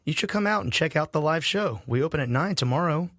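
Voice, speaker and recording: A friendly older campground owner with a lightly raised pitch and very lively intonation. He is generous and welcoming in an audio drama, recorded dry and close. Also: fast talker, dry recording.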